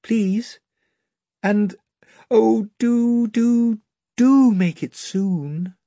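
A man's voice: high-pitched, very slow, mellow, no echo, studio quality, voice pushed up, heavily acted. A man voicing an earnest young schoolgirl who pleads eagerly. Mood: pleading.

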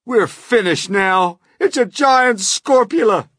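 A man's voice: on the high side, animated, moderate pace, a bit bright, no room sound, studio quality, voice pushed up. A man voicing a flustered, easily shocked fire chief in a storybook, exclaiming and yelping. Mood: panicked yelling.

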